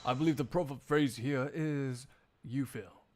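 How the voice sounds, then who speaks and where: A man voicing a composed, stern, sardonic gentleman prosecutor in a video game dub, formal, measured and cutting. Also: mid-range voice, a little hiss or hum, voice pushed down, close to an everyday voice. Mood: coolly triumphant.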